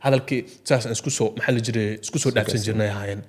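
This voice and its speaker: Very fast-talking man in discussion. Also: mid-range voice, steady tone. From Ogaden region. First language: Somali. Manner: repeats filler phrases, switches between Somali and English. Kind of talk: impassioned casual discussion.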